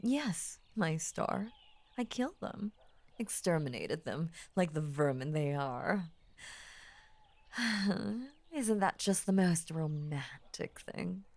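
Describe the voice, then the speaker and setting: A woman voicing an ancient, possessive lamia in intimate roleplay audio, tender and formal, with a breathy husky voice that often drops into a whisper. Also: lowish voice, expressive, no echo, heavily acted. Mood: calm, sinister devotion. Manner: slow, tender, formal, hushed obsessive devotion. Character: ancient possessive lamia, lovestruck, devoted and murderous.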